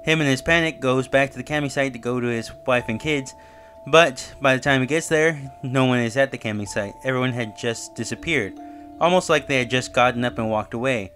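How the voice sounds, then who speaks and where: A man's voice: medium pitch, fairly quick, fairly bright tone, soft background music. A man hosting his own channel, casually recapping and reviewing a horror web series, talking loosely with fillers and self-corrections.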